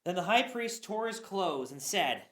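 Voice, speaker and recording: Low-voiced woman reading scripture aloud. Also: measured pace. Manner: expressive reading with occasional stumbles. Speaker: scripture reader. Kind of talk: dramatic Bible reading. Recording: roomy echo.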